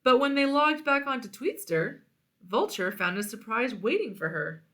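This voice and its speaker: A woman reading a children's storybook aloud in an expressive narrating style. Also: on the high side, moderate pace. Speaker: storybook reader.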